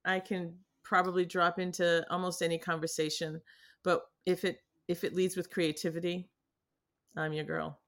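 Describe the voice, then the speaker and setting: Middle-aged woman with a trace of Boston accent, animated and quick to laugh; interview guest. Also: fairly low pitch. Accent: Boston, mostly lost. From New England. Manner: animated, laughs often, with pauses and restarts. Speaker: guest artist. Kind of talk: casual interview chat. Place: home studio in front of her paintings.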